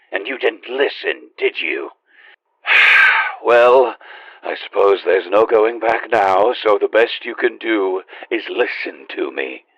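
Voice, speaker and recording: Grave male game guide, acted: deep, husky, urgent, over a muffled, dry radio. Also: dry recording, full character voice. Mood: urgent, stern. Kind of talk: video game radio guidance lines.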